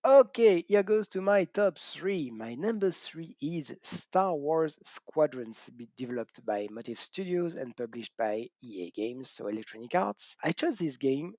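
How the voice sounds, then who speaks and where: A man's voice: a little high, expressive, measured pace, few pauses, darkish tone, dry recording. French-accented middle-aged man, dull cheap mic. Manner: chatty and self-mocking, laughs often, whoops. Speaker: gaming channel host. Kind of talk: casual talk to camera.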